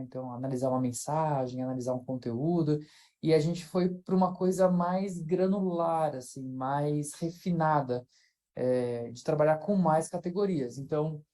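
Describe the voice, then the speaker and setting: A man speaks in a relaxed, mellow voice. He is an invited researcher giving an informal academic talk over a video call. He thinks aloud, padding his sentences with fillers and restarting them. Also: on the high side.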